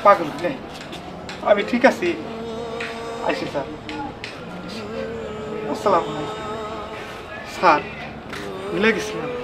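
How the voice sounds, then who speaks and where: An actor playing a warm-hearted, eager village friend in a drama, with a rural Bangladeshi accent. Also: male voice, on the high side, expressive, slow pace, pauses often, over music, low-quality recording. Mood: hurt, humble. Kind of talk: Bangla short-film drama acting.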